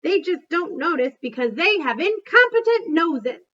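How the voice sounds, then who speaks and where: A woman's voice: very high-pitched, very animated, moderate pace, fairly bright tone, highs cut off, pitched above the performer's own voice, exaggerated voice. A woman voicing a blunt, grumbling male dog in a read-aloud storybook, complaining with emphasis. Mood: scornful.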